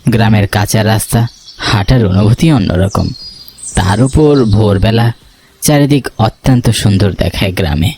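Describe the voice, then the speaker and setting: A man's voice: fairly low pitch, normal speed, darkish tone, slightly rough. A man playing a friendly, eager photographer who tells his own story in a horror cartoon. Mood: reflective narration. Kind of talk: first-person horror cartoon narration and dialogue.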